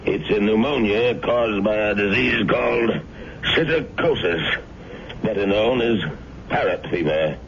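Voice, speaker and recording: Calm doc in an old radio play, deep deep voice, muffled old tape. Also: male voice, measured pace, a little hiss or hum. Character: kindly middle-aged male town doctor. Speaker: actor in a radio drama. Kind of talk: 1970s radio drama acting. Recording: dull old radio recording.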